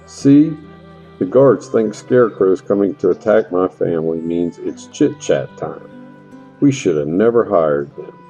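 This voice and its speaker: A male actor as a middle-aged fantasy farmer with a very low, dark voice. He grumbles in folksy country talk, fed up with everyone useless around him, in a slightly muffled recording over music. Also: lively intonation, measured pace. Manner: folksy, colloquial grumbling with mild country oaths. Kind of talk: audio drama fantasy acting.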